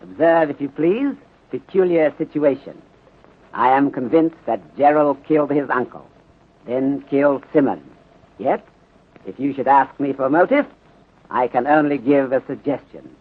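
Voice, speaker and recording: An actor playing a calm, courteous, bulky detective inspector in a 1930s radio drama, speaking formal, deliberate English with dropped articles. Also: male voice, mid-range voice, slightly rough. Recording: tinny narrow-band 1930s radio recording.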